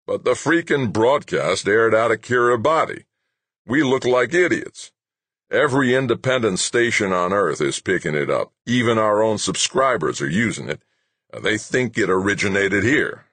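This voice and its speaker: A man's voice: fairly low pitch, expressive, moderate pace, a bit bright, no room sound, voice pushed up. A man voicing a furious, bullying middle-aged media boss in an audiobook, bellowing with sarcasm and swearing. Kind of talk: audiobook character dialogue.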